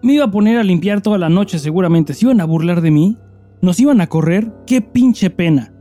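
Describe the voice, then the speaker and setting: A man's voice: high-pitched, expressive, a little fast, warm tone, faint music behind. A man with a Mexican accent, a podcast co-host who speaks in a slangy, sweary style. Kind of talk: casual podcast chat; dramatized reading of a listener email over music.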